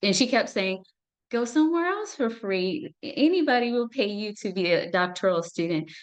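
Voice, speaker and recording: A woman's voice: mid-range voice, animated, normal speed. A woman from Ethiopia, a clinical psychologist, giving an earnest talk to an audience, with frequent fillers and restarts.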